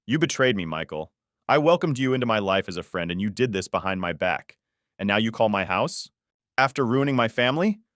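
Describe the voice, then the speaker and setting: A man's voice: on the low side, expressive, a little fast, fairly bright tone, dry recording, studio quality. A man playing a bitter, betrayed husband in an audiobook, icy, sarcastic and accusing. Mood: furious, bitter.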